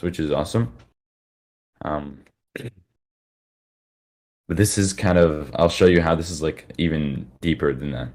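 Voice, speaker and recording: Man, very low pitch, worn-out voice; loose, filler-heavy live lecturer. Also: a little mellow. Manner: casual, rambling, many fillers and restarts. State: tired, worn-out voice. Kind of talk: informal live lecture to listeners.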